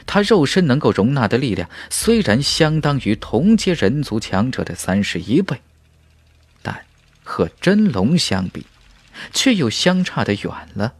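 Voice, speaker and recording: A man's voice: medium pitch, big pitch swings, a little slow, slightly rough, no echo. A man narrating a fantasy novel as an audiobook, in a dramatic, emphatic storytelling style.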